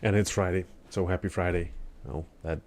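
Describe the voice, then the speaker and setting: A man's voice: low voice, steady tone, fairly quick, darkish tone. A man live-streaming a game in the morning, chatting casually with viewers in a rambling, hesitant way full of fillers and restarts, still a bit sleepy. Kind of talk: casual live-stream chat while gaming.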